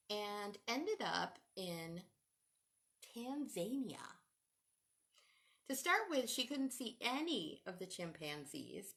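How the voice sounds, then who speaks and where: Animated woman reading a picture book to kids. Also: medium pitch. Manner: animated, sing-song teacher voice, asks children questions. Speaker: children's storytime host. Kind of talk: reading a picture book aloud to young children.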